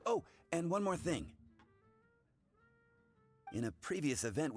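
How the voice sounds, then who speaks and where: An actor playing a friendly, seasoned bartender in a video game, talking in an affable, instructive way. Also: male voice, mid-range voice, close to an everyday voice. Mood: affable, cautionary. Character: friendly, seasoned male bartender mentoring beginners.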